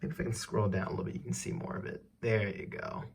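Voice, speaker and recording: A man's voice: fairly low pitch, steady tone, quick pace, slight background noise. A man reading an educational text aloud for children as a teacher, putting questions directly to the listener.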